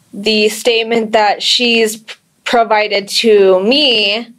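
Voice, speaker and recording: An American woman investigator talking on the phone in a measured, noncommittal way. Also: medium pitch, low-quality recording. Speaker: police investigator. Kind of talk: phone conversation.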